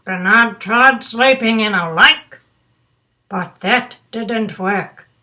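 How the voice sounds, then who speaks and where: Very slow, bright older woman reading bedtime stories. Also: fairly high pitch, slight background noise. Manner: doting, grandmotherly. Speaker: storybook reader. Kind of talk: children's bedtime story read aloud with chatty asides.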